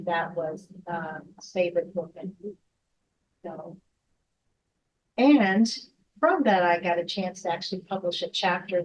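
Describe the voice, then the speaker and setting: This is a middle-aged woman who teaches education at a university, introducing herself to a group. She speaks briskly in quick bursts with a mid-range voice, and a faint room hum sits behind her. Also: on the low side, darkish tone, low-quality recording. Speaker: professor of education.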